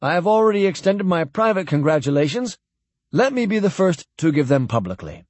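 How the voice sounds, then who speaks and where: A man's voice: high-pitched, big pitch swings, fairly quick, darkish tone, no echo, voice pushed up. A man voicing a merry chaplain making a formal announcement.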